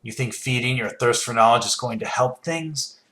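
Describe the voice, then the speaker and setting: An actor playing a confident, sly, menacing hunter in a space video game, with a dry, taunting manner. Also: male voice, medium pitch, moderate pace, bright, forceful tone, natural voice. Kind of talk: video game character acting.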